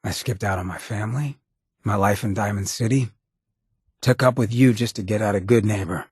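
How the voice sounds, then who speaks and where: Very raspy, roguish ghoul. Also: male voice, on the low side, moderate pace, a little mellow, no room sound, clean studio sound. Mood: confessional. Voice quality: husky, gravelly rasp. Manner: streetwise slangy drawl with swearing. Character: raspy, roguish ghoul mayor, streetwise, blunt, loyal. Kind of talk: video game companion dialogue.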